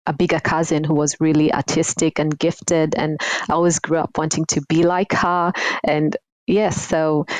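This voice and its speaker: A woman from Kenya, a guest designer, answering interview questions in a reflective, unhurried way with frequent ums. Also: low-pitched, a little mellow, dry recording, low-quality recording. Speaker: guest, surface pattern designer.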